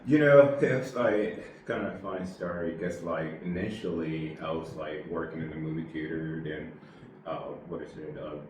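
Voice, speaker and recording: A man in his forties from Puerto Rico, an army veteran telling his story in a relaxed interview, halting and full of fillers. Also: a bass voice, very echoey, recorded from a distance, noisy background. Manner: rambling, halting, many fillers.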